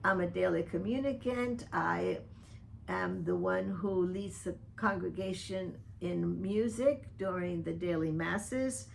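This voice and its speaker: An older woman delivers a slow, earnest monologue, speaking pointedly to one person by name. Her voice sits fairly low and carries a heavy gravelly rasp. A faint noise stays in the background throughout. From Dominican Republic. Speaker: vlogger addressing a public figure. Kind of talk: personal open-letter video monologue.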